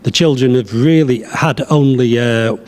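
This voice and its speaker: A man giving unscripted interview answers, with hesitant phrasing and many pauses. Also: mid-range voice, a little mellow. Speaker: poet, interview guest.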